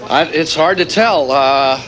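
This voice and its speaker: A man's voice: fairly high pitch, unhurried, over music, close to an everyday voice. An actor playing a wary, intellectual male leader of a militant group, speaking hesitantly in a TV drama. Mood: cautious. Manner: guarded, hesitant, wordy. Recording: live location feed amid crowd.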